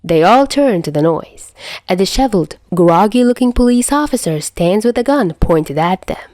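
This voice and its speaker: A woman reading fan fiction aloud as an amateur narrator, in an expressive, dramatic storytelling style. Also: mid-range voice, normal speed, no echo, studio quality.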